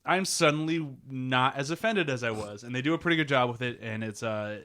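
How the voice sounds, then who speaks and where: Bright-voiced man hosting a podcast. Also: medium pitch, fairly quick. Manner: rambling, laughs often. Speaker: podcast host. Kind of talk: casual chat.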